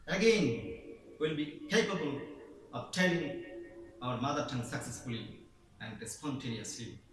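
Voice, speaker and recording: Male Bangladeshi teacher, formal speechmaker, mid-pitched, distant roomy recording with faint noise. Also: a bit bright. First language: Bengali. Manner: formal, declamatory, with emphatic rising stresses. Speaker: English teacher. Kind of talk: prepared speech. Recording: echoey room, voice from far off.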